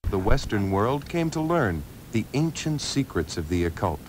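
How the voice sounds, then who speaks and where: A portentous, mysterious radio narrator. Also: male voice, lowish voice, big pitch swings. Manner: portentous, measured. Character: grave, mysterious narrator of occult adventure. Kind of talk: scripted dramatic radio narration, 1960s. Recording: old radio recording, faint background noise.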